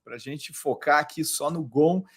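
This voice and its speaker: A man's voice: fairly high pitch, unhurried, slightly rough. A Brazilian man hosting a podcast interview, talking casually and often restarting and repeating words. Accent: Brazilian Portuguese. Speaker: podcast host.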